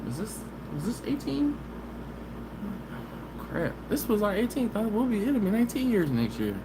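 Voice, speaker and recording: A man's voice: high voice, big pitch swings. A man, hosting a casual live stream from home on his phone and chatting easygoing with viewers. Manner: easygoing and chatty, with drawn-out "mm-mm" hums. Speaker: barbecue channel host. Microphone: phone camera. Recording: live stream audio with background hiss.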